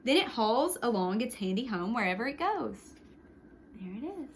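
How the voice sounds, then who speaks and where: Female storytime reader, high and very animated, expressive picture-book delivery, faint noise behind the voice. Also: normal speed. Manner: expressive, with hushed whispered sound words and asides. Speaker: storytime reader for young children. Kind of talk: read-aloud picture book narration with short asides.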